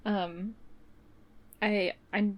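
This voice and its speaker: Woman, podcast co-host, stuffy and sniffly, chatty and rambling, mid-pitched. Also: flat delivery, drawn-out words, muffled recording. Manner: chatty, rambling with many fillers. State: sniffly, runny nose. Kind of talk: casual chat.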